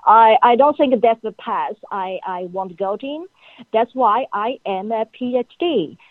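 Female guest, halting and filler-heavy, heard over a dry, tinny phone line. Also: fairly high pitch, dry recording. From China. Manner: halting, frequent uh fillers, non-native grammar. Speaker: guest, PhD in psychology. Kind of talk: phone-in interview answers.

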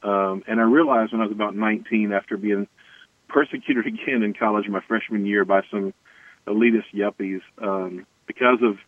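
An American man from Tennessee telling his personal story earnestly and haltingly as an interview guest. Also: lowish voice, fairly even intonation, no echo. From Tennessee, United States. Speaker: interview guest, anti-racism activist. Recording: tinny band-limited phone-like line.

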